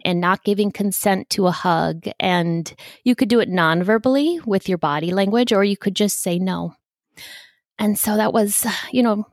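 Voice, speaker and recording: A woman's voice: mid-range voice, dry recording, clean studio sound. A woman hosting a podcast, speaking personally to listeners and putting questions to a guest. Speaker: podcast host. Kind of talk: personal podcast introduction and interviewing.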